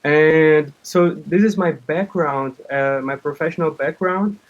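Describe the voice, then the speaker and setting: A man in his thirties from Brazil with a Brazilian accent, a native Portuguese speaker, giving casual interview answers in a halting way. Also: a little high, narrow, tinny audio. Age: 30s. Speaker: interview guest, comic artist and game developer.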